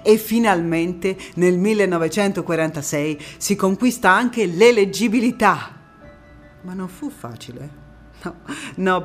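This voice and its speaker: An actress playing a passionate, resolute woman activist in an emphatic theatrical monologue with dramatic pauses. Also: low voice, close to an everyday voice. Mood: earnest, explanatory, then indignant, determined. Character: passionate, resolute woman activist recounting postwar struggle for women's vote.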